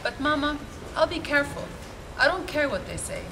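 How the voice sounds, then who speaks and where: A woman's voice: high voice, big pitch swings, brisk, pitched above the performer's own voice. Woman reading as an earnest young village girl. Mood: hopeful, determined. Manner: pleading, earnest. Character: eager, determined young village girl pleading for school. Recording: noisy live event reading.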